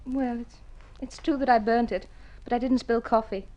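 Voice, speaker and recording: An actress playing a devoted, anxious young wife in an old radio drama, with an expressive, earnest delivery. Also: female voice, on the high side, moderate pace, muffled recording, lightly acted. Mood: confessing.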